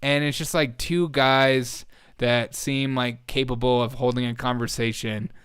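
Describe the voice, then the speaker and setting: A man's voice: medium pitch, fairly even intonation, measured pace, a bit bright, smooth, no room sound, studio quality. A man hosting a livestream, chatting casually and reacting in an informal, rambling way. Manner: informal, rambling, chuckles often. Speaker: host of a livestream show.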